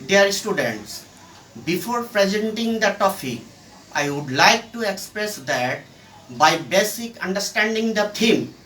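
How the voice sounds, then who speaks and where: A man with a Bangladeshi accent giving a formal classroom lecture as a teacher, in a deliberate, halting phrase-by-phrase way, recorded in an echoey room. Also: high voice, sharp tone. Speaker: English grammar teacher. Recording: echoey room, distant mic, faint noise.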